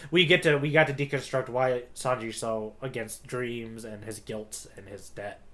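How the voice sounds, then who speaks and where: A man co-hosting a podcast in casual, slangy chat, with an excitable manner. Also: medium pitch, moderate pace. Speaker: podcast co-host.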